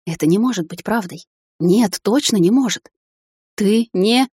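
A woman's voice: mid-range voice, measured pace, mellow, husky, no room sound, studio quality. A woman voicing a cold young witch hunter. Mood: shaken. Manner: clipped and cold.

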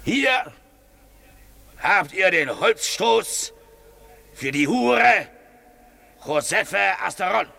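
A fanatical shoemaker in a 1950s radio drama, self-righteous and pompous. His voice is piercingly sharp and high, with slow, swooping oratory. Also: lively intonation, vocal fry, heavily acted. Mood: proud, menacing. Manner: pompous, oratorical, drawn-out emphatic phrasing.

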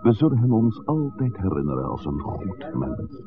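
An actor playing a courteous, earnest village priest in a 1960s radio drama, speaking in formal, slightly flowery sentences. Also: male voice, on the low side, very animated, moderate pace, music in the background. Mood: earnest, calm. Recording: muffled old radio recording.

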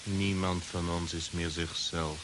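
A man's voice: deep voice, level tone, unhurried. An actor playing a coldly insistent, mind-controlled man in an old science-fiction radio drama, commanding and emotionless. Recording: hissy old radio recording.

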